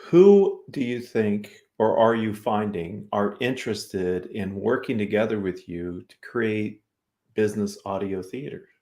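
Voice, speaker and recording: A man's voice: fairly low pitch, animated, measured pace, mellow. A man hosting a talk show, putting questions to guests, with a roomy sound. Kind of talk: interview questioning.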